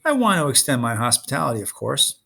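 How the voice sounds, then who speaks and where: A man's voice: medium pitch, very animated, quick pace, creaky, bright, forceful tone, full character voice. A man reading a storybook as a menacing, sneering young male villain who taunts and gives orders. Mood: smug, menacing. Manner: taunting, commanding.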